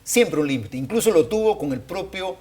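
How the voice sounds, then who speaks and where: A man giving unscripted analysis as a panelist, explaining deliberately and repeating points for emphasis. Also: high voice, lively intonation, darkish tone. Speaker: political panelist.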